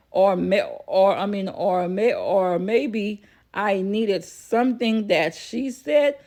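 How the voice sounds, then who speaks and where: A woman telling a personal story casually to viewers, chatty and repeating phrases for emphasis. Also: medium pitch. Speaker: vlogger.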